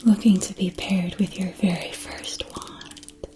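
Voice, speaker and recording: A woman acts out a mystical wand shop keeper in an ASMR roleplay. She speaks in a soft, breathy whisper, slowly and formally. Her manner is courteous, exacting and a little mysterious. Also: medium pitch, fairly even intonation, lightly acted.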